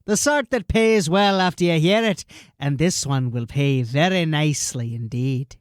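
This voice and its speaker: An actor playing a brash, slangy street informant who insists on being heard in a fantasy audio drama. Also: male voice, a little high, unhurried, clear, resonant tone, no echo, clean studio sound, close to an everyday voice. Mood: sly. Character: pushy, agitated street informant with urgent news.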